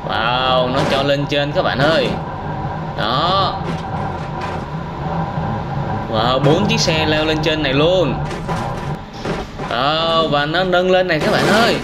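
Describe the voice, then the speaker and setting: High-voiced man commentating over noisy game footage. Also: unhurried. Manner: exclamatory, simple child-directed storytelling. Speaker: host of gaming reaction videos for children. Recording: noisy, car engine sounds behind.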